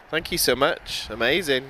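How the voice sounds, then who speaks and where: A man from the UK with a British accent, giving casual, chatty live commentary as the host of a plane-spotting stream outdoors. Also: medium pitch. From United Kingdom. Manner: informal, chatty. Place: outdoors by an airport. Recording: outdoor noise, aircraft and vehicle ambience. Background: outdoor urban and vehicle noise.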